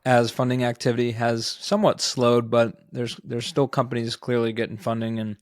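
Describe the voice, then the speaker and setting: A man co-hosting a podcast, discussing startup news in a measured, analytical, semi-scripted manner. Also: medium pitch, level tone, no room sound, clean studio sound. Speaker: podcast co-host.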